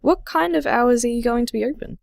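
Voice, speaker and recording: An actress playing a supportive, curious radio host in an audio drama, with a friendly, inquisitive manner. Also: female voice, on the high side, brisk, vocal fry, no room sound, clean studio sound. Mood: friendly interest.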